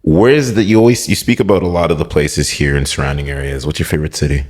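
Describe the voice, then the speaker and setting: Very deep husky man. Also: fairly even intonation, fast talker, darkish tone. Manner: playful and teasing. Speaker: podcast host. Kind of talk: casual podcast chat.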